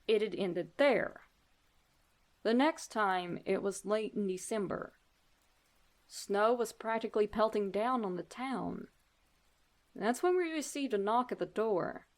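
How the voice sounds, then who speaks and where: A woman host reading horror stories aloud in a deliberate, theatrical narrating style. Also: a little high. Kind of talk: dramatic scripted story narration.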